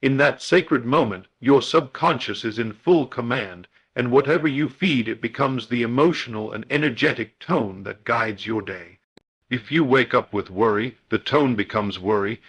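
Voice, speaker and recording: A man's voice: mid-range voice, moderate pace, a bit bright, slightly rough, rough recording. Man narrating a solemn motivational meditation.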